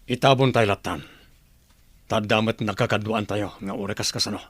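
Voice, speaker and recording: A man playing a stern, calculating leader of an armed group in a radio drama, measured and authoritative. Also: lowish voice, fairly even intonation, a bit bright, no echo. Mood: cold, suspicious.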